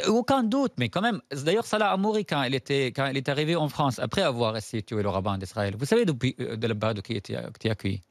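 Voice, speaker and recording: A man's voice: expressive, quick pace, hardly any pauses, no room sound, studio quality. A middle-aged man from Syria, a native Arabic speaker, speaks as a journalist guest on a TV debate, emphatic with many restarts. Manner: emphatic, many restarts, rising to high outbursts. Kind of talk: TV debate interview.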